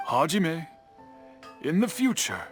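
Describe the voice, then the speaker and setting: An actor playing a dignified older master swordsmith in a game, speaking formally and with measured admonishment. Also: male voice, on the high side, huge pitch swings, faint music behind, heavily acted. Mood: calm, proud. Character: stern, dignified old master swordsmith mentoring an apprentice. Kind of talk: English video game dub acting.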